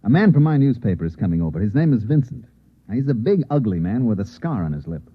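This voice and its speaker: Fast-talking, husky radio-drama newspaperman. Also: fairly low pitch, big pitch swings. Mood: urgent. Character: insistent, earnest newspaperman narrating his strange night. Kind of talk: old-time radio drama acting. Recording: muffled, narrow-band old radio recording.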